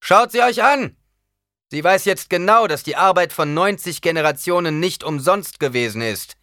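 A man reading an audiobook, voicing a cold, defiant young ruler who talks forcefully and rises into declaiming shouts. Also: medium pitch, lively intonation, moderate pace, dry recording, clean studio sound, voice pushed up, close to an everyday voice. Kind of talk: audiobook character voice.

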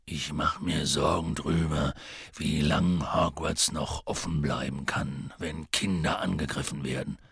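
A man voicing a huge, gruff, anxious half-giant groundskeeper in an audiobook, rambling nervously and clipping his words. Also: very deep voice, fairly even intonation, a little slow, a bit bright, no echo, studio quality, pitched below the performer's own voice, full character voice. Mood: worried. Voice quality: gravelly, husky. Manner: rambling, nervous, drops word endings. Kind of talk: audiobook character voice.

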